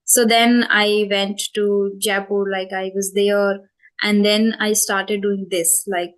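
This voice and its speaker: A woman's voice: medium pitch, level tone, slow, low-quality recording. A woman in her twenties with an Indian English accent, answering interview questions about her channel in a thoughtful way, with many fillers and self-corrections. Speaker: spoken English channel host.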